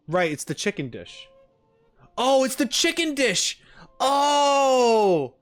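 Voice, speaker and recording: A man reacting casually on a livestream, wry and halting, often repeating his phrases. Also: a little high. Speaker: streamer reacting to game audio.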